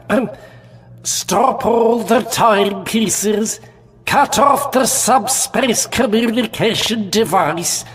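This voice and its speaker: Very slow, bumbling alien uncle. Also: mid-range voice, big-room sound. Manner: hesitant, bumbling, stammering ums. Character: dim, doddering, sentimental alien uncle, childish and mournful. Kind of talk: full-cast comic audio drama acting.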